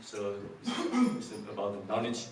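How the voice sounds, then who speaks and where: A man in his twenties from Taiwan, a ship crew volunteer, giving a halting presentation to a church. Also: on the low side, huge pitch swings. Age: 20s. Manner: halting, searching for words, simple phrasing. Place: church hall. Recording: echoey hall, mic far off, faint noise.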